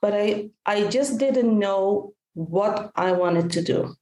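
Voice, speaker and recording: A woman's voice: mid-range voice, slow pace, roomy sound. A Dutch woman, a native Dutch speaker, telling her story earnestly as a podcast guest. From Netherlands. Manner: earnest, animated retelling with repeated phrases. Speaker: guest, caregiver coach and author.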